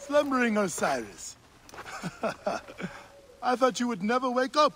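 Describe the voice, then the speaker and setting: A man's voice: extremely high pitch, sing-song, unhurried, fairly bright tone, a little hiss or hum, heavily acted. An actor playing a weary, kindly village priest who gives fatherly advice. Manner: fatherly and advising.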